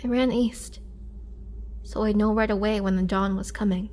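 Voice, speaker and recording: A woman playing a sorrowful, vulnerable young vampire and former farm girl, speaking hesitantly and softly in an intimate roleplay monologue, sometimes near a whisper. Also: medium pitch, steady tone, moderate pace, lightly acted. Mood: tense, recollecting. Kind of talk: roleplay audio drama, intimate first-person monologue.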